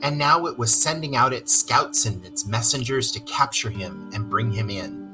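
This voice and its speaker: Animated storybook narrator over music. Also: mid-range voice, normal speed. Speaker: storyteller reading aloud to children. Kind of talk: expressive scripted storybook narration with asides.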